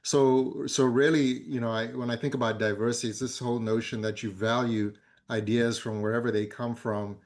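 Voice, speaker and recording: A man in his fifties from Zambia who speaks as a guest in a conference fireside chat, measured and full of hesitant fillers. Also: medium pitch, level tone. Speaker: board member, guest speaker.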